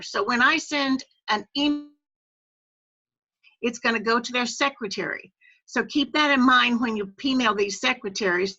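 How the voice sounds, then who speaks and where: A woman's voice: a little high, sharp tone. A woman presenting a methodical, step-by-step training walkthrough over an online video call. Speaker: presenter training club officers. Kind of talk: instructional walkthrough on a web call. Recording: dull, lo-fi web call audio.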